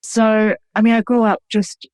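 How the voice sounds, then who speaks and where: A woman's voice: a little high, little pitch movement, measured pace, darkish tone, clear, resonant tone, dry recording. Reflective Australian woman, dry sound. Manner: reflective, many fillers like "like" and "you know". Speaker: guest cook and food writer. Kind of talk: conversational interview.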